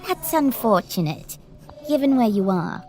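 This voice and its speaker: A woman's voice: fairly high pitch, big pitch swings, measured pace, slight background noise. A sly, teasing young woman. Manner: teasing, sly, lightly formal. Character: playful, mysterious young woman, teasing and knowing. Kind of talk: audio drama acting. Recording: soft music score underneath.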